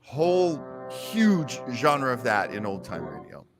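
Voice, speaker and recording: A man's voice: medium pitch, sing-song, slow, soft background music. A man hosting a show, chatting casually. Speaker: show host. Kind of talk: casual talk-show chat.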